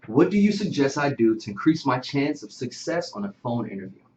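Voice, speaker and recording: A man's voice: mid-range voice, sing-song, a little fast, a little mellow. A man hosting a show, introducing the guest and posing a question in a simple, informal way. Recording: echoey, noisy, muffled room recording.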